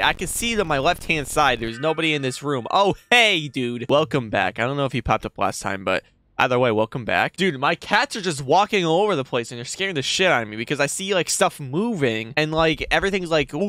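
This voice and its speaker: A man's voice: fairly high pitch, bright, forceful tone, a little hiss or hum. A young man giving casual, sweary, slangy reactions to a horror game, jumpy and exclaiming, on a close desk mic. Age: young adult. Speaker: gaming video host. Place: small indoor gaming room. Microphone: close desk microphone, headset on.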